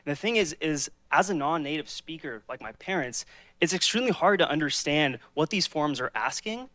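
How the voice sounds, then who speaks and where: Bright-voiced young man pitching an app. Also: lively intonation, a little fast, no echo. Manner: opens with a personal story, upbeat pitch. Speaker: hackathon presenter. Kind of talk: pitching a product demo.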